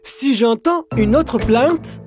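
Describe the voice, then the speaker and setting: Firm, high-voiced cartoon woman. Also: lively intonation, slow, music in the background. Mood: exasperated. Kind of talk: French-dubbed children's cartoon acting.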